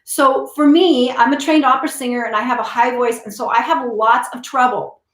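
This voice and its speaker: Woman voice coach, higher voice, talks in a chatty explaining way, echoey room. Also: steady tone, fairly quick, fairly bright tone. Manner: chatty, explains with repeats and restarts. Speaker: voice teacher. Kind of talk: casual teaching talk to camera.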